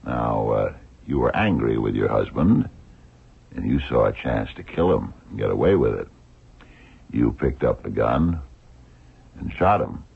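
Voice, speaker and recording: A shrewd, relentless police detective from a radio mystery, with an extremely deep, gravelly voice that rises and falls freely. He questions deliberately, leaving pauses, through a thin, muffled vintage broadcast sound. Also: big pitch swings, full character voice. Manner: probing, deliberate, pausing questioner. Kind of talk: old-time radio drama acting. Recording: muffled, narrow-band old radio recording.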